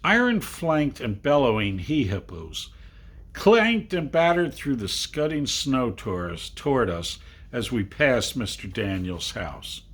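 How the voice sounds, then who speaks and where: Male storybook narrator, slightly slow and husky, with dramatic, widely swinging delivery. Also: mid-range voice, big pitch swings, fairly bright tone. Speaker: amateur reader of a literary story. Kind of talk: expressive literary storybook narration.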